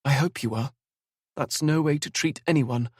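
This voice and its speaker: A man's voice: mid-range voice, normal speed, darkish tone, breathy edge, no room sound, studio quality, voice pushed up, close to an everyday voice. A man voicing Zara, a composed young woman who speaks her mind steadily. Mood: firm. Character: young woman Zara, calm, firm, level-headed.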